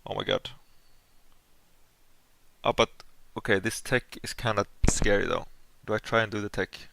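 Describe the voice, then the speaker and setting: Gravelly, low-voiced Swedish-accented man thinking aloud. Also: steady tone, moderate pace, fairly bright tone, no room sound. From Sweden. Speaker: animation streamer. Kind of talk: casual livestream, thinking aloud while working.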